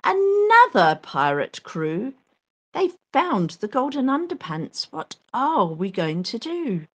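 Woman acting a dismayed, high-pitched storybook pirate. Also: very animated, takes it slowly, exaggerated voice. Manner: exclamatory, dramatic.

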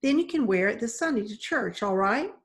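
A woman voicing a tiny older housewife who is steady, stern and no-nonsense, in a storybook reading. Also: a little high, a little fast. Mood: firm, stern. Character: tiny, steady, firm older custodian's wife.

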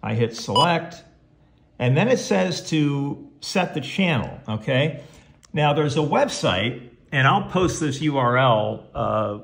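A man's voice: mid-range voice, expressive, slow pace. A man giving a casual how-to walkthrough in a folksy way, talking himself through each step. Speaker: presenter of how-to video. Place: indoors at home, beside a tabletop radio. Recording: clearly noisy home recording with clicks.

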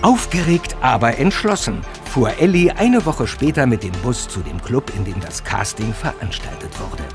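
A man's voice: medium pitch, very animated, normal speed, music in the background. A man reading scripted narration for an audio drama.

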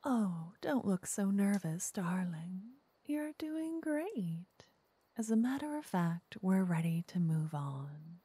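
A woman playing a flirty, teasing witch in an ASMR roleplay, softly and often in whispers, intimate and coaxing. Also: medium pitch, sing-song, takes it slowly, no room sound, full character voice. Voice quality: soft, breathy, often whispering. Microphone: close microphone.

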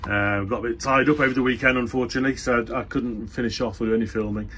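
A man's voice: medium pitch, steady tone, fast, a bit bright. British man, casual demo over background noise. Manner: unscripted, hesitant, thinking aloud. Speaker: hobbyist presenter. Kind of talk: casual hands-on demonstration vlog. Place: small wooden workshop, indoors. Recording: noisy room ambience, handheld camera. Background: clear background noise.

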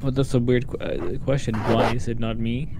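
A man's voice: mid-range voice, steady tone, moderate pace. A man bantering casually with friends as a player in an online game, over a home voice chat mic. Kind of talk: casual banter while gaming. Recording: faint noise behind voice.

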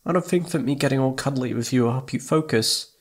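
Guy doing a needy sweet boyfriend asmr thing, soft pleading voice, normal guy pitch, kinda hesitant. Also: quick pace, natural voice. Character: needy, affectionate young boyfriend wanting attention. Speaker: audio roleplay voice actor. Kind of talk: intimate ASMR boyfriend roleplay.

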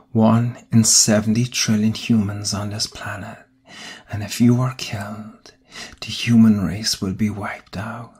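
Whispered ancient male vampire, acted by a man, very breathy, slow ASMR delivery, soothing yet menacing, coaxing, hushed. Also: lowish voice, exaggerated voice. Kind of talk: ASMR roleplay, whispered dramatic monologue. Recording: close voice over background music. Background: music.

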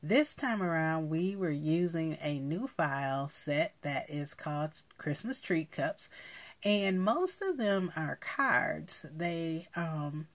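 A woman with a low voice and noticeable vocal fry presents a craft project. She talks casually and explains things in a halting, piece-by-piece way, at an unhurried, slow pace. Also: lively intonation. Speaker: craft video host.

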